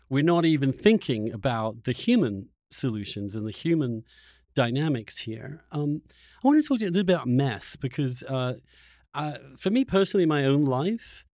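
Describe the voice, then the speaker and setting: A man's voice: medium pitch, darkish tone, no room sound, studio quality. A man hosting a conversational interview, speaking earnestly and often repeating phrases as he works out his thought. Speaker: podcast host interviewing a guest.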